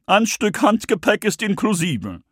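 A conceited stork in a children's audiobook. He clatters and stutters, repeating words, his voice high and leaping all over the place. The room is dry and close. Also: big pitch swings, unhurried, a bit bright, husky, dry recording, full character voice. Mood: boastful. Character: arrogant stuttering male stork, pompous.

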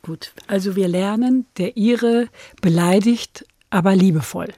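A woman hosting a radio interview, asking clear and structured questions. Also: mid-range voice, unhurried, warm tone, no room sound, studio quality. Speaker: radio host.

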